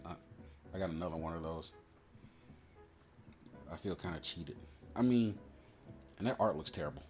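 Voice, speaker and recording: A man hosting a card pack opening, rambling casually in a slangy, profane, opinionated way as he tells stories. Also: mid-range voice. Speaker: host opening trading card packs. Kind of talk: casual rambling commentary and storytelling.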